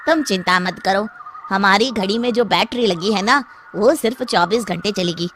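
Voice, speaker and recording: A woman voicing a calm male scientist in a cartoon story, explaining things in a reassuring way. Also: medium pitch, normal speed, faint music behind, natural voice.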